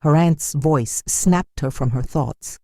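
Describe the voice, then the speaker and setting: A narrator reading a scripted dramatic story in a measured storytelling manner. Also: medium pitch, dark tone, dry recording, studio quality.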